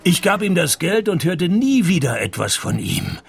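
An actor playing a sardonic, laconic private detective in a radio play, with a gravelly voice and dry wit. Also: male voice, sits high, big pitch swings, moderate pace, slight background noise, full character voice. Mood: dry, matter-of-fact. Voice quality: gravelly, creaky. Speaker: narrator and lead. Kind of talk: radio play narration and dialogue.

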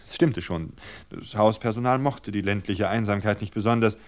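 Low-voiced male story narrator. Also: moderate pace. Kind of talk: scripted literary narration of a radio story.

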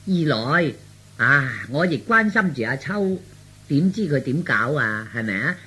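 A man's voice: mid-range voice, very animated, unhurried, bright, forceful tone, slightly rough, exaggerated voice. A man voicing a meddling middle-aged aunt in Cantonese radio storytelling, earnest, insistent and colloquial. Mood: earnest persuasion. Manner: insistent, colloquial, full of rhetorical tags.

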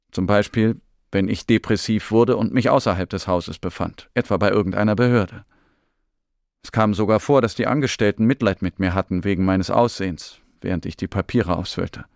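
Matter-of-fact syrian exile guy, radio drama monologue, gravelly fry, dry studio sound. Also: on the low side, dry recording. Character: depressed Syrian exile man recounting life as refugee.

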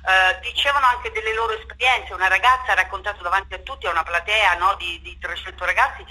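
A woman's voice: medium pitch, fairly even intonation, fast talker, sharp tone, low-quality recording. A woman speaking off the cuff in an interview, emphatic and impassioned, repeating words and seeking agreement. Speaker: theatre director being interviewed. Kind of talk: spontaneous radio interview talk.

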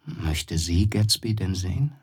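A man's voice: deep voice, expressive, unhurried, a little mellow, husky, heavily acted. An actor playing a polite, curious young man in a radio play, asking questions in an understated way.

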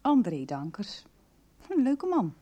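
Radio soap: calm, understanding female confidante and investigator, mellow tone, conversational storytelling. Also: a little high, takes it slowly, husky, exaggerated voice. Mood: engaged, explanatory. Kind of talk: Dutch radio soap acting.

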